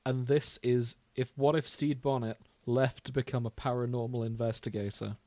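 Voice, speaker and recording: A man's voice: mid-range voice, little pitch movement, normal speed, warm tone, dry recording. A British man co-hosting a podcast, chatting casually about fan fiction. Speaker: podcast co-host.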